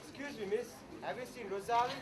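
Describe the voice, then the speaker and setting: A woman's voice: on the high side, fairly quick, natural voice. A young woman playing an awkward, hesitant, lovestruck young man in a comic school stage play. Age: young adult. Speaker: student actor in a school play. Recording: noisy stage recording.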